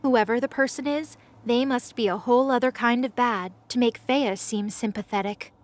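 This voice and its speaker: A woman's voice: fairly high pitch, normal speed. A woman reading scripted narration as the narrator of a fantasy audio drama.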